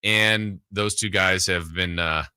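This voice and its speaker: A man's voice: low-pitched, steady tone, slow pace, pushed and bright, clean tone, no room sound, clean studio sound. A man hosting a sports radio show in casual, chatty and enthusiastic talk.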